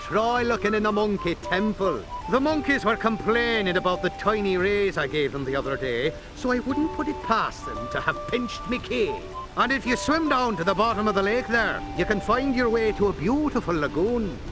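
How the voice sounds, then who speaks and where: A chirpy irish leprechaun type, high and swoopy, cartoon game, loud music behind. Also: male voice, very animated, normal speed, hardly any pauses, rough recording. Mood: helpful, chatty. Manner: lilting, folksy stage-Irish chatter. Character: chirpy Irish leprechaun-like mine owner, male. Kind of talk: cartoon video game acting.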